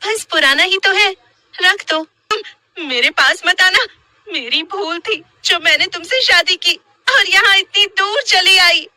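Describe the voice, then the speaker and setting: A woman acts out an emotional young wife in a haunted-house story. Her voice is high and very sharp, exclaiming and pleading, recorded close and dry. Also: measured pace, dry recording, full character voice. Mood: irritated. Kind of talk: animated horror story dialogue.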